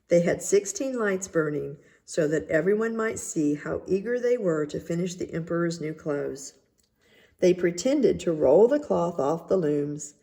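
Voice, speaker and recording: A woman reading a children's tale aloud as narrator, in a measured, deliberate way. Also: lowish voice, vocal fry, warm tone. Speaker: story reader. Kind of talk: scripted storybook narration.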